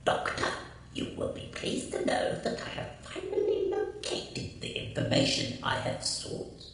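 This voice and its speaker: An actor playing an old, mad, imperious sci-fi villain with a harsh, electronically treated rasp and a menacing, grandiose delivery in a radio drama. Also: male voice, measured pace, fairly bright tone, a little hiss or hum. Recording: very echoey, distant, music underneath.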